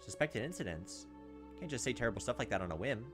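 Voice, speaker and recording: A man's voice: mid-range voice, animated, quick pace. A man hosting a let's play, reading game text aloud and adding wry, casual commentary. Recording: faint game music behind the voice.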